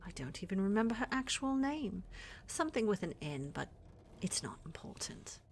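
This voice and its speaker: A woman playing a flirty, scheming witch in a fantasy ASMR roleplay, with a breathy, teasing, coaxing delivery that often drops to whispers. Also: medium pitch, very animated, moderate pace, slight background noise. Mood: gloating, teasing. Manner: teasing, coaxing, sugary endearments with theatrical menace. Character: flirty, scheming witch who runs a potion shop. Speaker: voice actor in audio roleplay.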